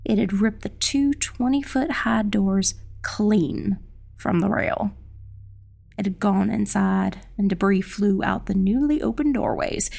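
A woman reading a dramatic first-person dark fantasy narration as an audiobook narrator. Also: medium pitch, slow, creaky. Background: faint music.

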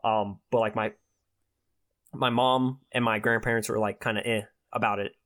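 A man's voice: lowish voice, fairly even intonation, normal speed, a bit bright. A twenty-year-old man chatting casually in a slangy, earnest way. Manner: slangy, earnest, with frequent "like" and "bro". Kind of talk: casual chat.

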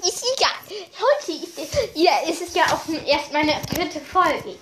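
A child hosting a playful, improvised podcast with a silly, excitable, giggly manner. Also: sits high, unhurried. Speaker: podcast host. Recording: noisy roomy home recording.

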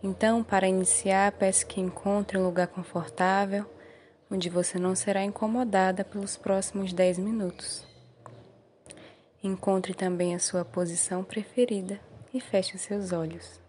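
A Brazilian woman gently guiding a meditation in a soothing, unhurried way, with short encouraging phrases. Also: medium pitch, flat delivery, a little hiss or hum. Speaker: meditation guide. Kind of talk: guided meditation.

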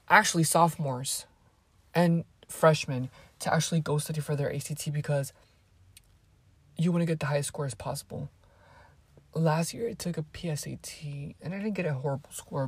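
Teen girl vlogging, spanish is her first language, rambly, raspy voice. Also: extremely low pitch, darkish tone, dry recording. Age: teens. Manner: rambling, chatty, drifts off topic. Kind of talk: casual chat to camera.

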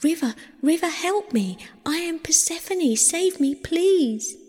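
A woman's voice: extremely high pitch, expressive, takes it slowly, a little mellow, voice pushed up, full character voice. A woman voicing a frightened young captive girl who pleads and cries out, in a storytelling performance. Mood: desperate. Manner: pleading, crying out. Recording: long hall-like reverb.

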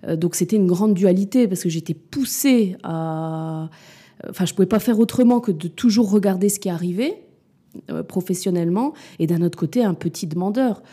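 A woman in her forties speaks with a very dark, mellow tone and a slightly low pitch. She talks reflectively and unhurriedly, with frequent hesitations, fillers and restarted sentences, as an interview guest opening up about family and work. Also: moderate pace, slightly rough. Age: 40s. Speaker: interview guest, mother and business owner.

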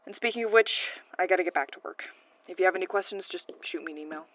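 Brisk, curt office woman, played by an actress, slightly muffled. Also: on the low side, no echo, close to an everyday voice. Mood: brisk, businesslike. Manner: matter-of-fact, curt. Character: brisk, businesslike female archival transcriptionist showing a newcomer around. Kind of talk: naturalistic audio drama acting.

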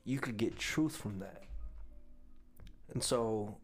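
Podcast host, young man, lowish voice, blunt and profane, faint music behind. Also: slow pace, slightly rough. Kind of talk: casual podcast chat.

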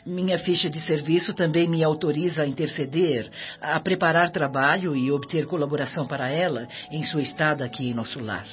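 A woman's voice: low voice, natural voice. An actress plays a serene, wise mother spirit with a Brazilian accent. She explains things in a formal, patient radio-drama manner, in a dull recording with faint music behind. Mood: serene, instructive. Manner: didactic, formal, measured explanations.

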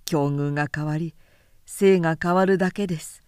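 A woman narrator voicing an ardent, courtly young man who pleads gently and formally in a literary audiobook. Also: low voice, steady tone, normal speed, smooth, no room sound, studio quality, lightly acted. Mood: earnest, pleading.

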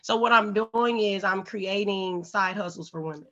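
A woman from St. Louis chats on a podcast in a raspy, congested voice. She tells her stories bluntly and animatedly, with plenty of swearing. The audio sounds a little dull and muffled. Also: medium pitch, normal speed. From St. Louis, USA. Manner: blunt, profane, animated storytelling. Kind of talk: casual, candid podcast chat.

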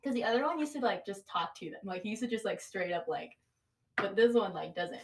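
A woman's voice: mid-range voice, expressive, brisk, recorded from a distance. A young woman co-hosting a podcast in casual comic chat with a friend, giggly and exclamatory, full of slang. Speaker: podcast co-host.

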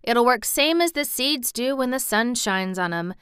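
A woman's voice: a little high, animated, normal speed, smooth, no room sound, studio quality, voice pushed up. Woman doing a cheerful country boy. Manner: warm plain rustic speech. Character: cheerful country boy, gentle, friendly with animals.

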